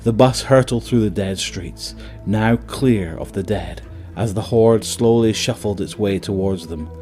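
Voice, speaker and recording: A man's voice: lowish voice, unhurried, mellow. A man narrating a scripted horror audio drama in a dramatic storytelling style. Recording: music under the voice.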